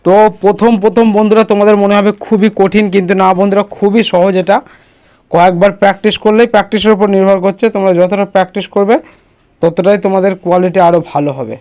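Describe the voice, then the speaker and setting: A man's voice: high-pitched, darkish tone. A man presenting a casual step-by-step screen tutorial, instructing and often checking that the listener follows.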